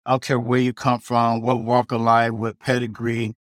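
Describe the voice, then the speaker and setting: A middle-aged chef tells his story in a loose, rambling way, full of restarts and "you know". He speaks at a middle pitch. The sound is dry, with no room around it. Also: completely flat delivery, moderate pace, dry recording. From South Side of Chicago. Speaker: chef guest. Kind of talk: casual storytelling interview.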